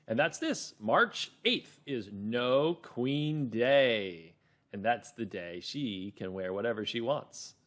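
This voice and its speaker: A man hosting a children's read-along lesson, narrating and explaining words with careful stress, in an animated way. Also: mid-range voice. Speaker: teacher, reading-lesson host.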